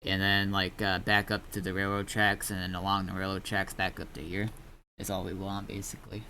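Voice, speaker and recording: A man with a fairly low but sharp, bright voice talks through an online roleplay game. He rambles informally and haltingly, like a streamer negotiating in character. There is light noise behind him. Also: monotone. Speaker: streamer playing a gang leader in online roleplay. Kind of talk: casual in-game roleplay chat.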